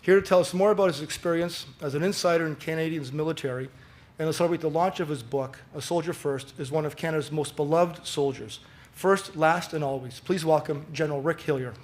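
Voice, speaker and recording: A man hosting an event, reading a formal prepared introduction of the guest in a measured way. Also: on the high side. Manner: measured, formal delivery, rises at key phrases. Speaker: event host.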